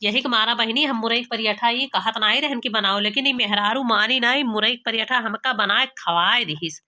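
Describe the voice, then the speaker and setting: A woman's voice: on the high side, moderate pace, sharp tone. A woman voicing a young village daughter-in-law with an Awadhi accent, complaining dramatically and colloquially in an amateur cartoon comedy. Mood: embarrassed, complaining. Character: exasperated, embarrassed young village daughter-in-law, gossipy and scolding.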